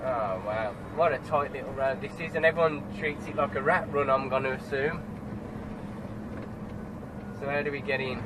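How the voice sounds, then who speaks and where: A man's voice: medium pitch, moderate pace, long pauses. A truck driver with a stuffed-up nose from a cold, chatting casually to the camera from his cab and grumbling at other road users. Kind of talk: casual driving vlog commentary. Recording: road and engine noise in cab.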